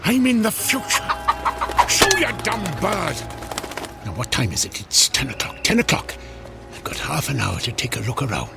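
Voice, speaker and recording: An actor playing a dour, flustered small-town grumbler of 1890 in a radio drama, with a blustering, exclamatory delivery. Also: male voice, mid-range voice, wildly swooping pitch, normal speed, gravelly, loud music behind the voice. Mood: bewildered.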